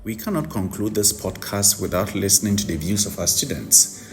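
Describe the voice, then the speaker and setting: Low-voiced man hosting a podcast. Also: expressive, moderate pace, loud music behind the voice. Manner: formal, courteous address to listeners. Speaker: podcast host.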